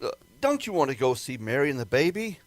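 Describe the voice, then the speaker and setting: An amateur actor playing a placating, hesitant military base official who gets excitable under pressure in a radio play. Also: male voice, mid-range voice, fast talker, no room sound.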